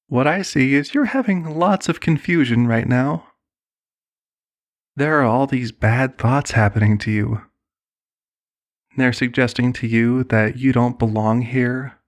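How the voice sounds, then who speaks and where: Man playing slow, soothing cult leader. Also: medium pitch. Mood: soothing, self-assured. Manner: slow, soothing, condescending, persuasive. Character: serenely manipulative male cult leader, a chosen lamb. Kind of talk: ASMR roleplay monologue.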